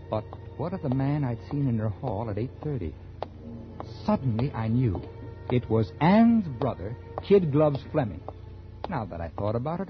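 An American actor playing a smooth, calculating murderer in a 1940s radio suspense drama, confiding and deliberate with studied nonchalance. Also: male voice, mid-range voice, natural voice. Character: calculating male murderer, smooth and feigning casual ease. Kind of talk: 1940s radio acting, first-person suspense narration. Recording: dull 1940s radio recording with music.

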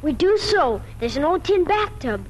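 High-pitched bossy little farm kid played by female. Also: sing-song, normal speed, muffled recording. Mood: eager, insistent. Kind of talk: 1940s radio acting. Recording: 1940s radio with faint noise.

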